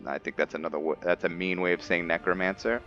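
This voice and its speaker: A man's voice: deep voice, fairly even intonation, fast talker, fairly bright tone, dull audio. A man giving casual gameplay commentary, thinking aloud hesitantly and chatting to his viewers. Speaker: host of a gameplay roleplay series. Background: background music.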